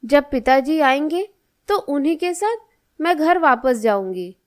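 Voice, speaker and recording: A dutiful young daughter acted by a woman in a cartoon moral story. Her voice is high and she speaks slowly, with an earnest, plaintive and affectionate manner. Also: expressive, slight background noise. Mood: hurt, brooding.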